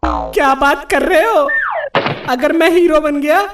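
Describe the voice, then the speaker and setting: A man's voice: extremely high pitch, a little slow, background noise. A man voicing a timid, whining, boastful cricket batsman in a comic cartoon. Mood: excited, boastful. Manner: whiny, exclaiming. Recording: distant voice under loud music.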